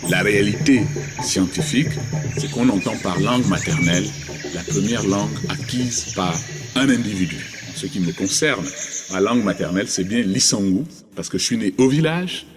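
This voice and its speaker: A man from Gabon explaining ideas about the mother tongue in a measured way. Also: on the low side. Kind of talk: explanatory commentary.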